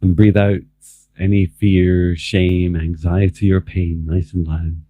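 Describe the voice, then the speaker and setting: Male meditation guide: very deep voice, measured and calm, leaving long pauses, recorded very dry. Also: no echo. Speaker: spiritual mentor leading a guided meditation. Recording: muffled, phone-like narrow band, dry.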